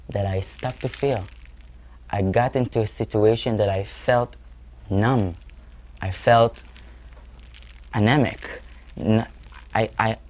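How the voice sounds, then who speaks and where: A man's voice: on the low side, a little mellow. A young man in his twenties from Israel with an Israeli accent, speaking earnestly as an interview guest and sometimes searching for words. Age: 20s. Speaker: guest musician. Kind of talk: interview answers.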